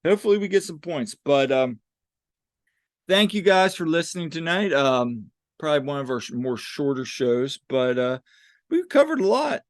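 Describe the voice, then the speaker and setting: A man's voice: fairly high pitch, big pitch swings, normal speed, no echo, clean studio sound. A young man co-hosting a sports podcast in a casual, rambling way, with frequent restarts and fillers. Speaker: podcast co-host.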